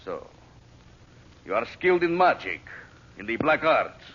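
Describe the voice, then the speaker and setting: Casting: domineering villain chief, rough-edged unclear voice, animated, muffled vintage radio sound. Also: male voice, medium pitch, unhurried. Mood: probing, imperious. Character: imperious villain boss, male, receiving his henchman. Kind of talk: old-time radio adventure acting. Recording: dull old radio recording, distant.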